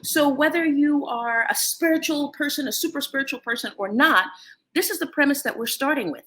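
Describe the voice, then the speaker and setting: A middle-aged American woman hosting a motivational talk, animated and laughing often as she speaks. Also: sits high, a little fast, low-quality recording. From United States. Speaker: host.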